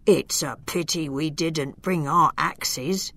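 Big teasing giants, as a woman reading aloud puts them on, drawling their words slowly with a mocking edge. The sound is close and dry. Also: low voice, fairly bright tone, no room sound, pitched below the performer's own voice, full character voice. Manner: slow and teasing.

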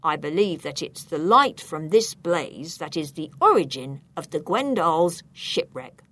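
A woman's voice: low voice, lively intonation, a little slow, a bit bright, pitched below the performer's own voice, exaggerated voice. A woman voicing a stern, thin policeman in a storybook, speaking with formal, firm authority as he interrogates. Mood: formal, probing.

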